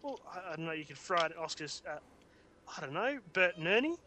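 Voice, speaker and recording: A man's voice: a little high, big pitch swings, fast, sharp tone, a little hiss or hum. A man with an Australian accent, co-hosting a podcast in casual, rambling, jokey chat. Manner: jokey, rambling, laughs often. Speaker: podcast co-host.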